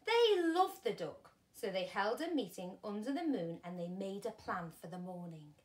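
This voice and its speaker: A woman in her thirties reading a children's story like a primary teacher, expressively and with dramatic pauses. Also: mid-range voice. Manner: animated, deliberate, dramatic pauses. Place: small furnished room at home, indoors.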